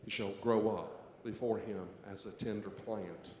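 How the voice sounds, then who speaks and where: Low-voiced man leading an echoey church service. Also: steady tone, measured pace, a little mellow. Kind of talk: service introduction and responsive scripture reading. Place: church sanctuary. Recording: echoey church, faint background noise.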